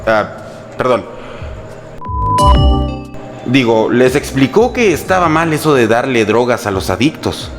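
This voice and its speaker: A man's voice: medium pitch, sing-song, unhurried, pauses often. A man with a Mexican accent narrates history from a script in a humorous, sarcastic and colloquial storytelling style. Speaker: host and narrator. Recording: music bed under the voice. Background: background music.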